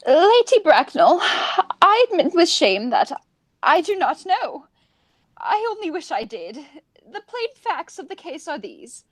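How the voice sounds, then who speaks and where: A woman's voice: very high-pitched, big pitch swings, slow, dry recording, heavily acted. A woman playing a prim, flustered spinster governess in a radio play, earnest, proper and overwrought. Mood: ashamed, confessing.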